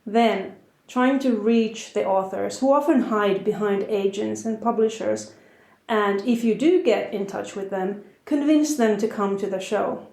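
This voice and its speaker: A woman with a Finnish accent hosts a podcast from her house, talking in a measured, earnest way with a light conversational touch. Her medium-pitched voice carries a noticeable room echo. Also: creaky, darkish tone. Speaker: podcast host. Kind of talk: podcast intro and interview. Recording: roomy echo.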